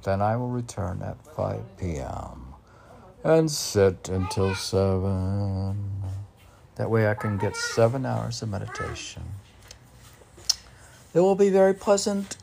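A man with a very low voice and heavy creak reads poetry informally. He is slow and quizzical, as though thinking aloud to himself. A faint noise sits behind him. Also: a little mellow. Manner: musing, quizzical, talking to himself. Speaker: poet reading own work. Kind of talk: informal poetry reading with self-questioning asides.

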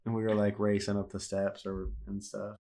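A man's voice: fairly low pitch, monotone, normal speed, dark tone. A young man chatting casually as a podcast guest, laid-back and full of "like" and "you know", with a stuffy nose. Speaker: podcast guest, host's husband. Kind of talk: casual chat.

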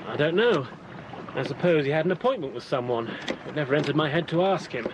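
A man's voice: fairly high pitch, lively intonation, fast talker, background noise. An actor playing a wary, matter-of-fact boatman in a radio drama. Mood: guarded. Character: wary Cairo boatman, adult man.

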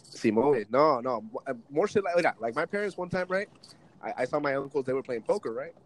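A man hosting a casual podcast. He rambles with many fillers, then trades loud jokes and drops Spanish into his talk. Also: mid-range voice, lively intonation, a little fast. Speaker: podcast host.

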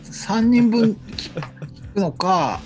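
A man's voice: high voice, big pitch swings, drawn-out words, darkish tone, soft background music. A man chatting casually as a radio co-host. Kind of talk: casual chat.

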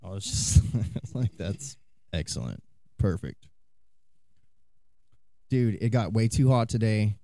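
A man's voice: on the low side, expressive, slow pace, pauses often, warm tone, no room sound. A man co-hosting a casual podcast from a small room, chatting easily and laughing often. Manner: laughs often, easygoing banter. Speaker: podcast co-host. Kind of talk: casual chat. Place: hot small room indoors.

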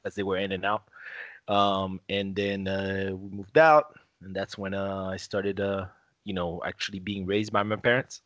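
A young man from Haiti, a podcast guest telling his story in relaxed, casual conversation with many fillers and laughs. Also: sits low, normal speed, no echo.

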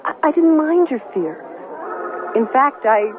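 A woman's voice: high-pitched, sing-song, a little slow, crowd noise. An actress playing a hurt but firm young ex-girlfriend in a radio drama, earnest and emphatic. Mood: tender, earnest. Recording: tinny narrowband radio broadcast with faint noise.

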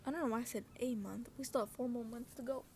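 High-voiced young woman rambling over outdoor noise. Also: fairly even intonation, fast, a little mellow. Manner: scattered, slangy, self-interrupting. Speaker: podcast host. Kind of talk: casual rambling personal podcast chat. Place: outdoors with wind and traffic. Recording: windy outdoor noise, passing cars. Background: wind, cars, ambient noise.

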